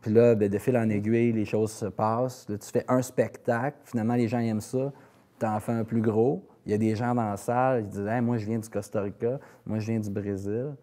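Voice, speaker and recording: A man's voice: lowish voice, fairly even intonation, brisk, warm tone. A man with a Quebec French accent speaking casually in an interview as a singer-songwriter, often repeating words as he searches for phrasing.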